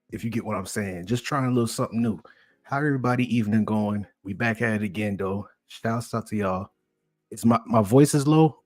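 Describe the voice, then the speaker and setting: A man's voice: lowish voice, dry recording, studio quality. Laid-back man hosting a livestream. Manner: laid-back, slangy, talks to chat. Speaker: livestream commentary host. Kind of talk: casual livestream chat.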